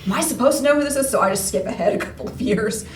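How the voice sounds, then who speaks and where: A woman's voice: a little high, racing. A woman in her thirties chatting casually as an interview guest, in an informal, self-deprecating way. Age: 30s. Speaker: radio play cast member being interviewed. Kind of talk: casual chat. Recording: roomy, distant mic with background noise.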